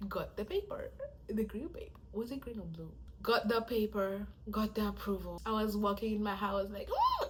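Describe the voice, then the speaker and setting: A soft-spoken young woman, chatting casually to camera as she tells a story and acts out how people react to her. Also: a little hiss or hum. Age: young adult. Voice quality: soft-spoken, low-volume voice. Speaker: vlogger.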